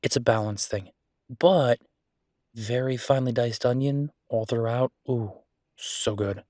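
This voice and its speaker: A man's voice: medium pitch, a little mellow, dry recording, close to an everyday voice. Man playing a chatty, fondly grumbling young chef boyfriend, close and dry. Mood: fond, enthusiastic. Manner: chatty, playfully grumbling, halting with fillers. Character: affectionate, grumbling young male werewolf chef, cozy boyfriend. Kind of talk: intimate boyfriend roleplay audio, casual one-sided conversation.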